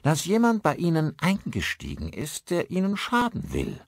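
A man's voice: on the high side, huge pitch swings, normal speed, gravelly, no room sound, clean studio sound, pitched above the performer's own voice, exaggerated voice. A man voicing a casual, defensive middle-aged plumber in an audiobook. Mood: defensive, musing.

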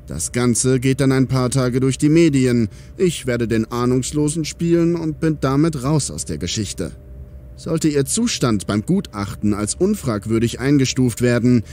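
Police commander for audio drama, male actor: calculating, diplomatic, medium pitch, faint noise behind. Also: warm tone, close to an everyday voice. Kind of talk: German audio drama acting.

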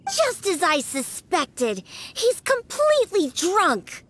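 A woman's voice: extremely high pitch, wildly swooping pitch, slow, a bit bright, heavily acted. A woman voicing a feisty, scornful young girl in a video game, with a pert, childlike, scolding manner. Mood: exasperated. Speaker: game character voice actor. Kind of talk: video game character dialogue.

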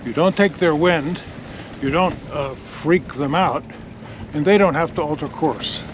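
Male sailing instructor, high-pitched, didactic delivery, recorded outdoors with clear wind and boat noise. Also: measured pace. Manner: didactic, explanatory. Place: outdoors on a sailboat under way.

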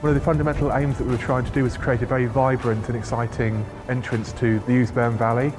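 Fast-talking man over music. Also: medium pitch, little pitch movement. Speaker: lighting consultant. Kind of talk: interview explanation for a case study video.

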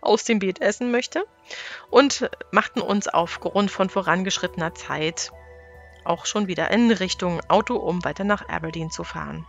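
A woman's voice: fairly low pitch, moderate pace, gravelly, lo-fi sound, faint music behind. A woman narrating her family travel vlog in a scripted, chatty voice-over, telling it plainly with small restarts. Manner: chatty, matter-of-fact storytelling with small restarts. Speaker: travel vlogger. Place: voice-over, recorded apart from the pictured scenes.

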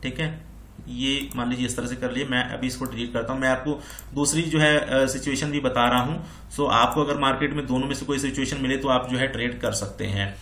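A man's voice: mid-range voice, fairly even intonation, fairly quick, fairly bright tone, reverberant, slight background noise. A man giving an informal tutorial as a trading instructor, explaining repetitively and mixing in English terms. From India. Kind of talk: informal screen-share tutorial on chart patterns.

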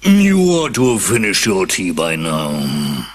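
A woman voicing a stern, pompous old king acting as judge in a storybook reading, dropping her voice low and speaking slowly. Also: wildly swooping pitch, heavily acted.

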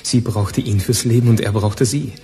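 A man plays a cool, assured investigator in a radio crime drama. His voice is dark and mellow. A little noise lies behind it. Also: fairly low pitch, fairly even intonation, normal speed, close to an everyday voice.

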